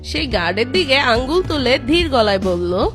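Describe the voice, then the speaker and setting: A woman's voice: high-pitched, big pitch swings, moderate pace, fairly bright tone. A woman reading scripted narration for a cartoon story. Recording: music behind the voice.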